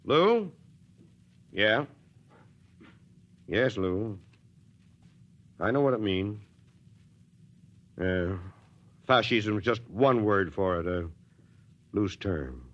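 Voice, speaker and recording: An actor playing a principled, weary small-town Texas mayor in a folksy, drily ironic American manner, in 1940s radio drama style. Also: male voice, medium pitch, very animated, slow, pauses often, fairly bright tone. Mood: resigned, tense. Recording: dull, lo-fi 1940s radio recording.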